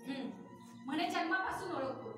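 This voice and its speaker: A woman playing a sharp-tongued, money-minded young wife in a domestic drama, taunting, scolding and imperious. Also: extremely high pitch, lively intonation, moderate pace. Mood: bitter, accusing. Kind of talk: melodramatic Marathi stage-style domestic drama acting. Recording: very echoey room, distant mic, music.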